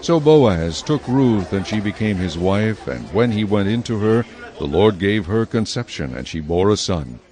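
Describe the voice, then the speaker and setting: A man narrating scripture from a script in a measured, solemn manner. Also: on the low side, animated, a little mellow.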